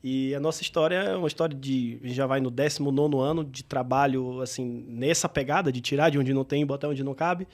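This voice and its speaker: A man speaks as an interview guest, recounting his path in a reflective, unhurried way. His voice carries a gravelly edge, and he often pauses and restarts mid-sentence as he gathers his thoughts. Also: mid-range voice, fairly even intonation. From Bahia, Brazil. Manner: reflective, with frequent hesitations and restarts. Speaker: guest entrepreneur. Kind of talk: interview storytelling.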